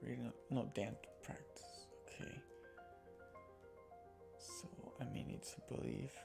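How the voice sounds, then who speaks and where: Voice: medium pitch, fairly even intonation, slow, vocal fry. A streamer playing a visual novel, reading its text aloud and talking casually through the choices. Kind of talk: reading game dialogue aloud with casual asides. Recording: background music under the voice. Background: music.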